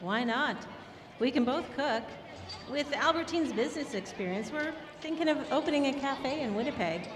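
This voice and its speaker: A woman playing a bossy, practical, teasing friend in an old-time radio drama, brisk and no-nonsense. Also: high voice, lively intonation, music in the background, a crowd in the background. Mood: brisk, cheerful. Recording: echoey hall with audience sounds.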